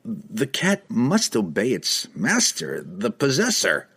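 A man's voice: fairly low pitch, wildly swooping pitch, slow, fairly bright tone, breathy edge, heavily acted. A man voicing a frightened wizard underling in a fantasy audiobook, dumbfounded and nervous, blurting out gasped replies. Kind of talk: fantasy audiobook character acting.

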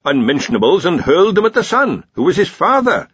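A scholarly old-college wizard , put on by a man for a storybook. He muses and informs at some length, higher than the reader's own pitch. The sound is a little dull. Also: sing-song, a little fast, a bit bright, breathy edge, no room sound, exaggerated voice. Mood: musing. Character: musing, scholarly senior male wizard. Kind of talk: audiobook character voice.

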